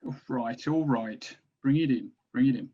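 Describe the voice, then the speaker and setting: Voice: medium pitch, normal speed, slightly rough, natural voice. A dull, lo-fi recording of an actor playing a cowardly male orc captain in a fantasy comedy read. He plots in an urgent, conspiratorial way, exasperated with those around him. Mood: weary, secretive. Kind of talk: table read acting.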